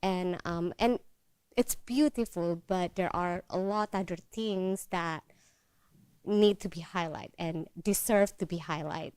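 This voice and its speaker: A woman who grew up in Indonesia shares her view with a panel, speaking earnestly and pausing to search for words. Her voice is smooth, a touch lower than most women's, and sounds dry and close. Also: dry recording. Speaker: travel content creator. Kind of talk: panel comment.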